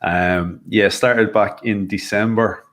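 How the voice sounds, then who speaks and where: Deep-voiced Irish man, casual and chatty. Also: fairly even intonation, slow, fairly bright tone. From Ireland. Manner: self-deprecating, chatty, frequent restarts. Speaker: podcast guest. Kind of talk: casual chat.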